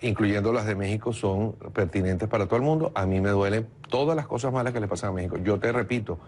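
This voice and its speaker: A man's voice: on the low side, quick pace, darkish tone, slight background noise. Man, political consultant, insistent, argumentative, TV interview guest. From Venezuela. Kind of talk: heated TV interview.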